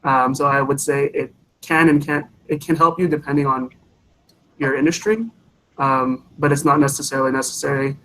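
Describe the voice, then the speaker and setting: A man in his late twenties on a panel, answering student questions about his engineering career with measured advice and frequent pauses. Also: medium pitch, steady tone, bright, forceful tone, rough recording. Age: 20s. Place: college presentation event.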